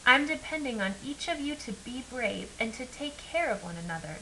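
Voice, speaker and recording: A woman's voice: fairly high pitch, expressive, moderate pace, sharp tone, low-quality recording. A woman voicing a grave, earnest father in a children's storybook, speaking in a subdued manner. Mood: sorrowful. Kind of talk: storybook character voice. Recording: clear background hiss.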